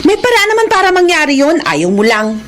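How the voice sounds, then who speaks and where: A woman's voice: very high-pitched, sing-song, a little fast, slight background noise. A woman acting a demanding, discontented middle-aged wife and mother in a radio drama, with a Filipino accent. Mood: irritated, taunting.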